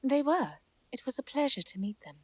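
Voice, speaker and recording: Lively female druid companion, played by an actress, playful yet polite, swooping high voice, fantasy audio drama. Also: a little fast, no room sound, clean studio sound. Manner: formal wording, playful. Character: genial, teasing female druid companion to a lady.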